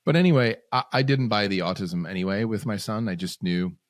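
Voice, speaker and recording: A man's voice: on the low side, fairly quick, no room sound, clean studio sound. A man, a podcast guest telling his story in bursts, with sudden rises and restarted phrases. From Switzerland. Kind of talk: casual storytelling interview.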